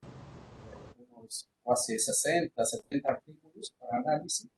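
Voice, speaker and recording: A man's voice: fairly high pitch, huge pitch swings, measured pace, gravelly, a little mellow, slight background noise, low-quality recording. A man, giving an online university lecture with many fillers and restarts, slipping into Portuguese words. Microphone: computer microphone on a video call.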